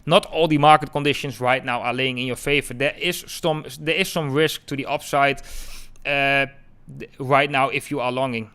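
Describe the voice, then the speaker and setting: A man's voice: medium pitch, steady tone, normal speed, pushed and bright, smooth. A man from the Netherlands with a Dutch accent, hosting a live crypto trading stream and answering viewers in a chatty way. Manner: chatty, keeps calling viewers "guys". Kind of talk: live-stream chart talk, answering chat.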